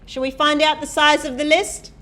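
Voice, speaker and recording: A woman giving a live coding lecture, talking loudly and quizzing the class as she types. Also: high voice, flat delivery, normal speed. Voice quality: loud voice. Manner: chatty, asks the class questions, thinks aloud while typing. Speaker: university programming lecturer. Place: lecture hall.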